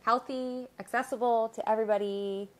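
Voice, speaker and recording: A woman's voice: a little high, fairly even intonation, moderate pace. A young woman hosting a casual vlog, bubbly and enthusiastic, filmed by a camera across a living room. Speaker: host. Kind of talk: casual chat. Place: living room with window, indoors.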